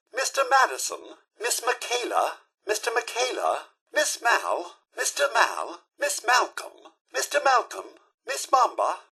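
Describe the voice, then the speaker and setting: A man's voice: high voice, creaky, sharp tone, breathy edge, exaggerated voice. A man voicing a polite, fussy robot butler in a video game, with a formal, theatrical way of addressing people. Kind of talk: video game voice lines, reciting names as greetings.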